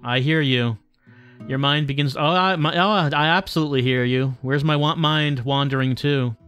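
A man's voice: a little high, normal speed, fairly bright tone, clean tone, faint music behind. A man doing casual livestream commentary and reading game text aloud, in a wry, self-deprecating manner. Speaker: game streamer.

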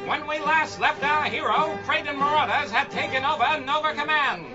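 A man's voice: very high voice, normal speed, dull audio, a crowd in the background. A man playing a bombastic announcer narrating a pulp space-adventure radio serial in melodramatic old-time style. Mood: dramatic, suspenseful. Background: loud music.

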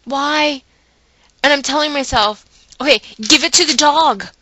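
Bright-voiced woman telling a chatty, dramatic story. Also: high-pitched, unhurried, no room sound. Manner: chatty, dramatic emphasis, acting out her own inner dialogue. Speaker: vlogger. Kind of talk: animated storytelling monologue to viewers.

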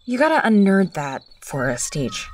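A woman's voice: medium pitch, very animated, moderate pace, a little mellow, far from the mic, a little hiss or hum, natural voice. An actress playing a bold, curious teenage student girl, eager and cheeky, a bit off-mic.

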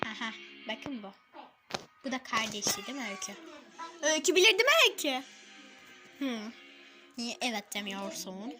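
A woman with a very high, girlish pitch talks casually as a family vlogger. She chats to viewers and a little child in turn, with clear music playing behind her. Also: very animated, normal speed, pauses often. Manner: chatty, addressing viewers and a small child in turn. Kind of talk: casual home vlog chat. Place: at home, in front of a television.

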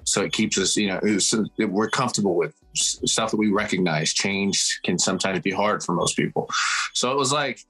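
A man's voice: sits low, brisk, a bit bright, husky, soft background music, low-quality recording. A man, reflecting at length as a guest on a casual video-call panel. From Samoa. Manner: reflective, frequent restarts.

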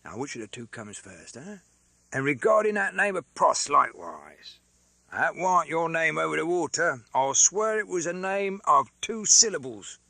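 A hoarse, blunt working-class man pressing his point in rough colloquial speech, as a male reader puts him on. The voice is bright and lively in pitch. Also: moderate pace, dry recording, heavily acted. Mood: insistent.

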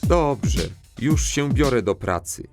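A man's voice: medium pitch, lively intonation, slow pace, darkish tone, soft background music. A man reading formal voice-over translations of slang lines in a comic audio drama. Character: formal lector with literal, proper phrasing.

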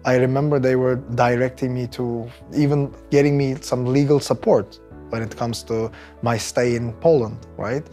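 A man's voice: mid-range voice, steady tone, normal speed, mellow. A man in his thirties from Turkey with a Turkish accent, talking conversationally in an interview as an employee. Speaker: employee interviewee, technical project manager. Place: bright office room indoors, by large windows. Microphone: lapel mic. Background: background music.

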